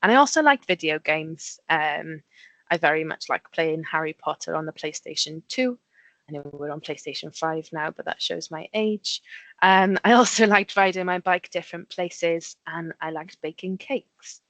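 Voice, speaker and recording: Woman presenting to kids, low voice. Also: normal speed, fairly bright tone, dry recording, rough recording. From Wales. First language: Welsh. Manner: chatty, simple explanations with frequent ums. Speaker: engineer giving a talk. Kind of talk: friendly presentation to schoolchildren.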